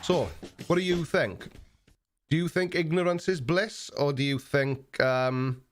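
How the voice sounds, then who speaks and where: A man in his forties with an Australian accent, hosting a live stream and chatting casually while answering viewer comments, relaxed and plain-spoken with frequent ums. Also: fairly high pitch, a little slow, soft background music. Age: 40s.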